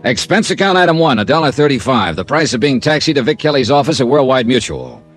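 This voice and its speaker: A man's voice: medium pitch, big pitch swings, fast talker, faint music behind, close to an everyday voice. An American man playing a wry, confident insurance investigator in a 1950s radio detective drama. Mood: dry narrating. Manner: wry, breezy, clipped. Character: wry easygoing freelance insurance investigator, confident and quick-witted.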